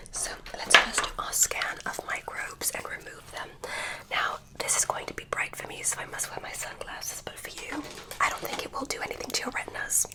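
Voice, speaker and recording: A woman's voice: natural voice, rough recording. A woman voicing a curious, polite female alien examiner, whispering through an ASMR roleplay.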